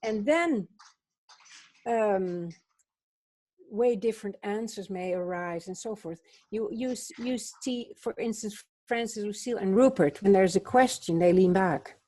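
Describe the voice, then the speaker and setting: Slow, husky woman musing contemplatively. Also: medium pitch, dark tone. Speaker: book club co-host. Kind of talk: contemplative spiritual discussion.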